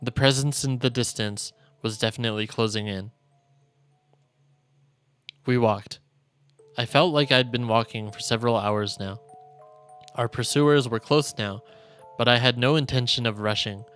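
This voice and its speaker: A male streamer reading visual novel narration aloud in a solemn, deliberate storytelling manner. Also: medium pitch, a bit bright, clean tone. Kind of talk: dramatic reading of game narration. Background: game music.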